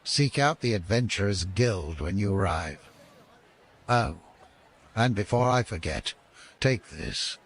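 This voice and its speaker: An actor playing a mysterious, calm mentor in an audio fantasy game, deliberate and cryptic, with a gravelly, husky voice. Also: male voice, on the low side, full character voice. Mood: intriguing, persuasive. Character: mysterious calm male mentor recruiting a young adventurer.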